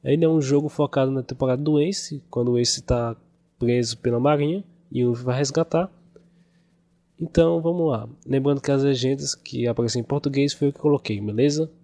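A hoarse young man with a cold, casually talking through gameplay as a gaming youtuber. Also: mid-range voice, a little fast. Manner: casual, relaxed chatty narration. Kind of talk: gameplay commentary. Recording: voice over game music.

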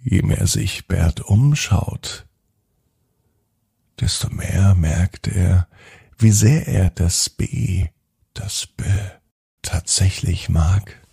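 A man narrates a children's bedtime story in a gentle, deliberate way with pauses. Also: sits low, vocal fry, husky.

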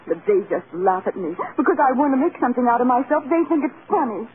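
A woman's voice: on the high side, big pitch swings, fast talker. An actress playing an earnest, starry-eyed young farm girl in a vintage radio drama. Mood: tearful, indignant. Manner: earnest, polite, eager. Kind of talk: 1930s-40s American radio acting. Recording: muffled, noisy old radio broadcast.

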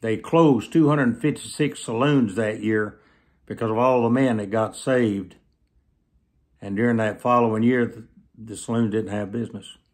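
A man in his seventies preaching through personal stories, speaking earnestly to camera with emphatic, drawn-out phrases. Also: lowish voice, fairly even intonation. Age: 70s. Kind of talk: sermon storytelling told to camera. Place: small plain indoor room, seated.

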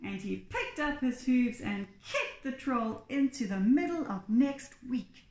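A woman in her thirties, a teacher reading a children's storybook aloud expressively and deliberately. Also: a little high, animated, darkish tone. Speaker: pre-primary teacher. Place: small bare indoor room. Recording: echoey room.

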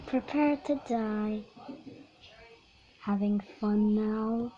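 A woman's voice: medium pitch, very slow, mellow. A woman reading out character voice lines in a menacing role-play manner, on an amateur recording. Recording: noisy, muffled amateur recording.

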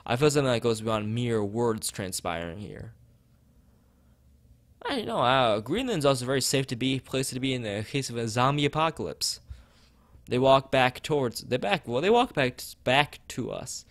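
A man's voice: mid-range voice, expressive, moderate pace, fairly bright tone, dry recording. A man streaming as he reads a visual novel aloud and chats with viewers, often stumbling and rereading phrases. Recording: background music behind voice.

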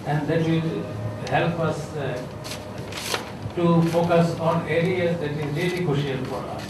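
A man's voice: a little high, steady tone, a little slow. A middle-aged man with a Bangladeshi English accent, a health official giving unscripted panel remarks at a podium, hesitant, with many fillers and restarts. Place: conference room with a seated audience. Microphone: podium microphone. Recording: echoey room, voice from a distance, clear background noise.

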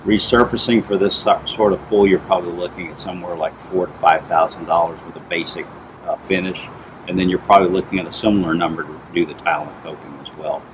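A middle-aged man with a deep, low voice talks through pool problems in a steady, explanatory way, pausing with "uh" and "um." He is outdoors in a backyard, picked up by a camera mic a few steps away. Steady outdoor noise sits under his voice. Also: dull audio. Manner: plain, measured, explanatory, with frequent "uh" and "um". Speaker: pool inspector.

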